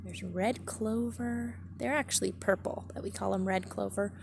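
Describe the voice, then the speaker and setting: A woman's voice: medium pitch, fairly even intonation, normal speed, gravelly, a little hiss or hum. A woman reading a picture book aloud like a teacher, adding simple, chatty explanations for children outdoors in a garden.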